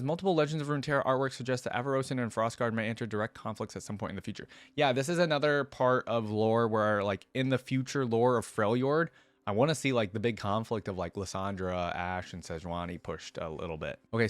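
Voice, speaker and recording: A man's voice: lowish voice, no echo. A man hosting a reaction video, reading aloud and commenting in a casual, informal, curious way. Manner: informal, curious, frequent "like" and "kinda".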